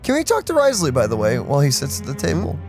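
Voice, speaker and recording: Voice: mid-range voice, wildly swooping pitch, fast talker. A let's play co-host chatting casually about the game, with music behind. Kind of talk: casual gameplay commentary.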